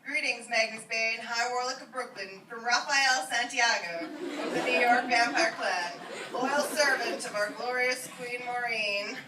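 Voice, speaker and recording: A woman voicing a haughty, weary male vampire who speaks formally and deadpan in a comic reading. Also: fairly high pitch, measured pace, a crowd in the background, close to an everyday voice. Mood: formal, resigned. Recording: roomy, noisy, distant old iPod recording.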